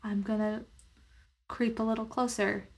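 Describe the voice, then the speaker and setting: A woman's voice: medium pitch, level tone, unhurried, darkish tone, breathy edge. A woman playing a tabletop roleplaying game, improvising her character's actions in the first person, casual and tentative as she thinks aloud.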